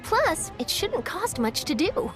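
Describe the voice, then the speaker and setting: An actress voicing a cheerful young woman reporter in a video game, bright and bubbly with quick asides. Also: very high-pitched, big pitch swings, music in the background, heavily acted. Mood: upbeat.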